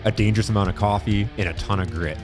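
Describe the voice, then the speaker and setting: A man hosting a casual podcast interview in a studio, loud and exuberant, laughing often. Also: on the low side, quick pace, music in the background. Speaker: podcast host.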